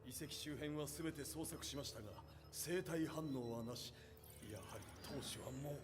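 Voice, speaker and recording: An actor playing a dutiful, urgent military subordinate in a video game, reporting formally in clipped phrases. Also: male voice, on the high side, fast, lightly acted. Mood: grave, urgent. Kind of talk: Japanese game voice acting. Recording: long hall reverb under music.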